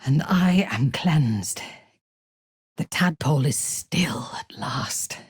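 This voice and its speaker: A woman playing an imperious, ruthless dark elf warrior in a video game, speaking in a haughty, deliberate, formal manner with cold menace. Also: low voice, lively intonation, breathy edge, dry recording, whispered, studio quality, exaggerated voice. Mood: hushed devotion.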